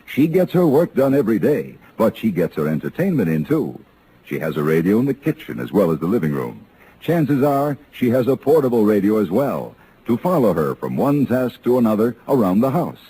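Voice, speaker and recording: A man's voice: on the low side, very animated, fairly quick, mellow, a little hiss or hum, poor audio. A man announcing in scripted 1950s radio style, polished and declarative. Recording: old radio broadcast recording.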